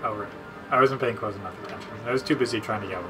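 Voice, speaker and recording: A man's voice: on the low side, very fast, sharp tone, soft background music. A man commenting casually on a video game with friends, dry and full of references. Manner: dry, reference-heavy joking. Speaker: let's play commentator. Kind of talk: casual gaming banter.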